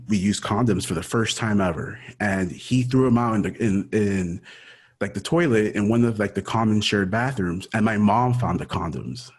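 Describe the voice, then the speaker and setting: Man in his thirties, low voice, casual, keeps saying dude and like. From Ecuador, raised in Miami. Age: 30s. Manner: casual, says dude and like often. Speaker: guest. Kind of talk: casual personal interview.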